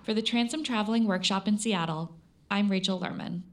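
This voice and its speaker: A woman reporter reading scripted narration for an audio story in a measured, storytelling way. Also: medium pitch, noisy background. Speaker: radio reporter.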